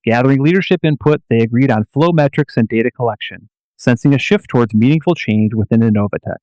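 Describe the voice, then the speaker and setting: A man narrating a scripted drama as storyteller, with deliberate, solemn phrasing. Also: mid-range voice, a little mellow, no echo, poor audio.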